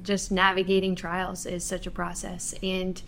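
Soft, flat-toned woman reflecting. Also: fairly low pitch, a little slow, slight background noise. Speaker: guest. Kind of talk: reflective conversation.

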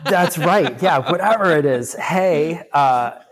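A young man telling a candid personal story in casual talk, halting, with many fillers and restarts. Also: fairly high pitch, animated, crowd noise. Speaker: guest.

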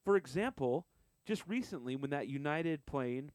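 A man's voice: on the high side, expressive, moderate pace, a little mellow, no echo, clean studio sound. A man co-hosting a casual podcast chat, blunt and scoffing, telling stories with stumbles and restarts. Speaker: podcast co-host.

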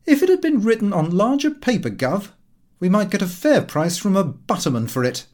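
A man's voice: high voice, sing-song, normal speed, a little mellow, voice pushed up. A man voicing a scornful, mocking young son in an audiobook story, declaiming with open disdain. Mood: jeering.

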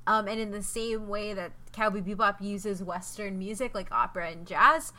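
A chatty woman hosting a podcast, her voice bright and forward. She talks enthusiastically, often pausing with ums as she goes. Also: mid-range voice, fairly even intonation. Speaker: podcast host. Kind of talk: casual podcast chat.

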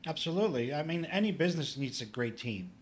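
A man's voice: on the high side, animated, fast, a little hiss or hum. Man explaining plainly. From Poland. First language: Polish. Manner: plain-spoken and instructive, with filler "you know". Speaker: public adjuster, guest. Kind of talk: casual podcast interview.

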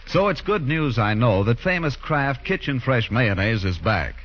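A man's voice: medium pitch, animated, moderate pace, a bit bright, a little hiss or hum. A man reading scripted 1940s radio advertising as an announcer, with polished, emphatic salesmanship. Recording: dull 1940s radio recording.

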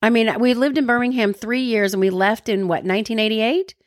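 A woman's voice: fairly high pitch, fairly even intonation, fairly quick, no room sound, studio quality. A woman broadcaster from the American South with a neutral broadcast accent, chatting casually with her husband and laughing often. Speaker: broadcaster and co-host. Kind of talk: casual chat with spouse.

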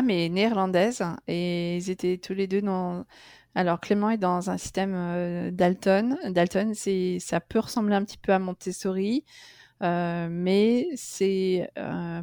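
A woman's voice: fairly low pitch, dark tone, no echo, studio quality. A middle-aged French woman, a guest in a casual interview, talking chattily with many fillers and restarts.

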